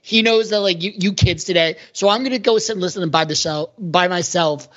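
A woman's voice: on the low side, fairly quick, fairly bright tone, highs cut off. A woman on a podcast panel, talking through ideas in casual analytical conversation.

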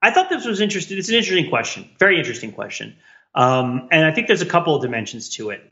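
A man's voice: fairly high pitch, big pitch swings, rapid-fire, fairly bright tone. American male podcast co-host, slightly dull lo-fi audio. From New Jersey, United States. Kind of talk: casual podcast discussion. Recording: dull lo-fi voice track.

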